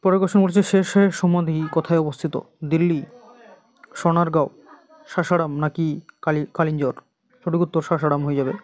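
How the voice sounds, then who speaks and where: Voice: medium pitch, fairly even intonation, brisk, pauses often. An exam coaching teacher with an Indian Bengali accent, methodically reading out quiz questions and answers and repeating them.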